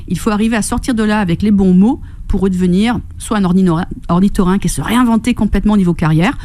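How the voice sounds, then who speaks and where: Upbeat Corsican woman with a mellow voice. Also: mid-range voice, quick pace. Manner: enthusiastic, laughs often, animated storytelling with quoted voices. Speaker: fitness and nutrition coach, guest. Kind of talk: radio interview. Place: radio studio.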